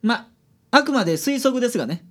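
Gruff, shrewd male private detective, played by an actor, Kansai accent, lively pitch swings, radio drama. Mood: measured, kind. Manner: blunt with colleagues, measured and polite with clients.

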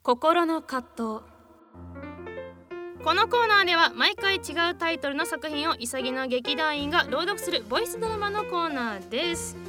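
A woman's voice: high voice, animated, normal speed, over music. A woman hosting a casual radio program, talking in a chatty, explanatory way. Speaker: radio show host.

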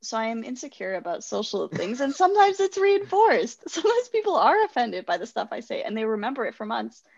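A woman in her twenties telling a personal story in casual chat, animated and self-deprecating, laughing often. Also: on the high side, brisk, creaky, dry recording, lo-fi sound. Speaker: guest sharing personal stories.

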